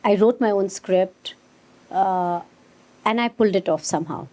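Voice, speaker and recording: A woman's voice: medium pitch, normal speed, dark tone. A woman in her fifties chatting casually as an interview guest, telling her own story with wry, jokey touches. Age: 50s. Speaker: interview guest, comedian and ventriloquist.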